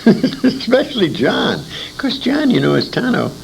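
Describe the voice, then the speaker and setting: A man's voice: huge pitch swings, a little slow, creaky. An older American man being interviewed, reminiscing in a rambling way as he searches his memory for names. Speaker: interviewee, former radio actor. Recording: noisy amateur tape with low rumble.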